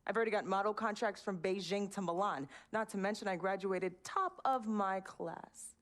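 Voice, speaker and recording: A woman's voice: medium pitch, moderate pace, lightly acted. An American woman playing a sassy, proud girlfriend of a star athlete in a video game cutscene, haughty and taunting. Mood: indignant, proud.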